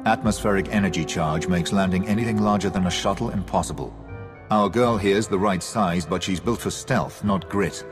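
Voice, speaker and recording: Man playing a deep-voiced, no-nonsense military pilot. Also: normal speed, lightly acted. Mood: matter-of-fact, warning. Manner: crisp, matter-of-fact, soldierly. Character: seasoned military starfighter pilot, dutiful and plain-spoken. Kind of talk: scripted video game acting. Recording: game music behind the voice.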